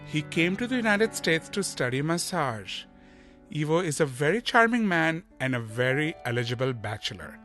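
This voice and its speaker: A man's voice: a little high, very animated, normal speed, a bit bright, faint music behind. A man hosting an inspirational talk show who reads a scripted introduction and then interviews the guest, affirming him and restating what he says with frequent mm-hmms.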